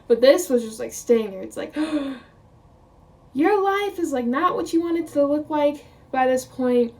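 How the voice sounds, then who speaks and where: A woman's voice: high-pitched, animated, unhurried, a little mellow. Chatty young woman vlogging, a bit far off. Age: 20s. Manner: chatty, rambling, quoting her own thoughts; laughs often. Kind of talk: casual chat to camera.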